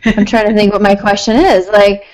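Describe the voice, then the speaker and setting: A woman's voice: mid-range voice, flat delivery, brisk, a little mellow, poor audio. A woman co-hosting a casual tech talk show, chatting loosely, laughing often and sometimes searching for her words. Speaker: podcast co-host. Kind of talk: casual chat.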